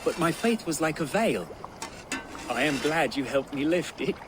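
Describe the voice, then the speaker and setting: An actor playing a sincere convert to Norse ways in a video game, measured and dry. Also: male voice, a little high, expressive, over music, natural voice. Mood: calm, dry. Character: devout-raised young convert to Norse ways, dryly sincere.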